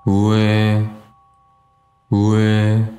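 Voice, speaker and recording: A man's voice: lowish voice, monotone, extremely slow, dark tone, reverberant, faint music behind. A man voicing a distant murmuring ghost. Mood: eerie murmur. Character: unseen ghostly voice murmuring from above.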